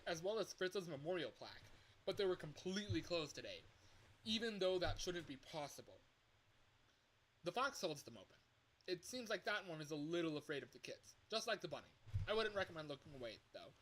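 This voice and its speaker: A man's voice: a little high, fairly bright tone, slight background noise, low-quality recording. A man playing a nervy pizzeria caller in a horror game, hesitant and understated as he leaves ominous warnings. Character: nervy male pizzeria phone caller warning night guard. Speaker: phone caller in a horror fangame.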